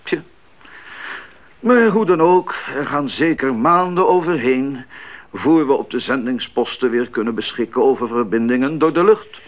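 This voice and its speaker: Casting: older male missionary doctor played by an actor, genial mentor, animated intonation, radio play, muffled recording. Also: medium pitch, normal speed, close to an everyday voice. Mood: earnest, concerned. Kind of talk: 1980s radio drama acting. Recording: muffled band-limited 1980s radio recording.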